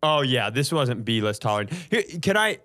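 A man's voice: mid-range voice, very animated, normal speed, bright, forceful tone. A man co-hosting a comedy podcast, chatting casually in a joking, profane way.

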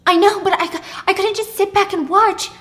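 Defensive, pleading young girlfriend for dramatic storytelling, performed by a woman; high voice, impulsive, repetitive pleas. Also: steady tone, a little fast, fairly bright tone. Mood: defensive, frantic.

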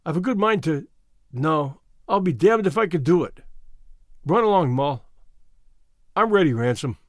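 A man's voice: fairly high pitch, animated, a little slow, no room sound, studio quality. A man voicing a proud, hot-tempered young ex-gangster in a storybook, blunt, slangy and emphatic. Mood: grim, wild.

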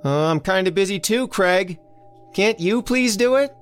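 Guy doing a worried woman yelling from the kitchen, high and anxious. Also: animated, unhurried, smooth, soft background music. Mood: pleading. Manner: calling out from another room. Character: worried woman, adult, cautious wife.

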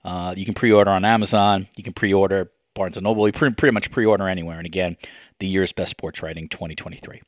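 A man's voice: deep voice, fairly even intonation, quick pace, dry recording. A middle-aged man hosting a podcast in a conversational style, hesitant and trailing off at phrase ends. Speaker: podcast host.

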